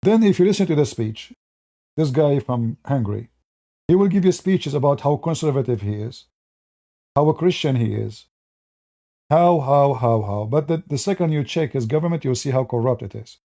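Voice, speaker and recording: A man speaks as a with a mellow, relaxed tone. He delivers rambling, mocking political commentary straight to his viewers and often restarts his sentences. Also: mid-range voice, normal speed.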